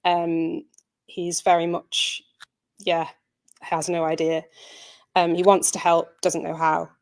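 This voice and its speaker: A woman presents informally in a slightly low voice. Her intonation stays very level, and she speaks in an earnest, hesitant way, like a producer talking through her project. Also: completely flat delivery, slow pace. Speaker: audio drama producer presenting.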